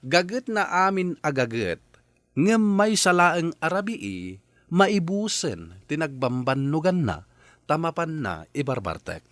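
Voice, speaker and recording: An actor with a Filipino accent playing an earnest, worried nephew in a radio drama, pleading respectfully. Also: male voice, a little high, big pitch swings, unhurried, a bit bright. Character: earnest, worried married nephew, gently reproachful.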